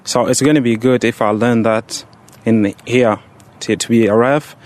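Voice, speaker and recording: A young man of seventeen, from Egypt, speaks in a medium-pitched voice. He answers interview questions casually and simply, with some hesitation as he finds his words. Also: fairly even intonation, normal speed, a little mellow. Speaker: student on a referee course, interviewee.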